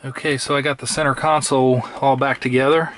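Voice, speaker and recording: A man's voice: medium pitch, flat delivery, a little fast, a bit bright. A man giving casual, matter-of-fact hands-on narration, thinking aloud as he works inside a car. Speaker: vlogger installing a car CB radio. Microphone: handheld camera microphone. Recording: clear background noise, clicks.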